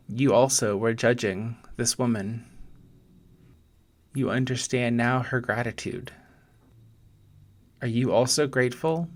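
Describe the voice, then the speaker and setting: Slow-paced man leading guided meditation. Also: medium pitch. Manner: gentle, deliberate, pausing between short phrases.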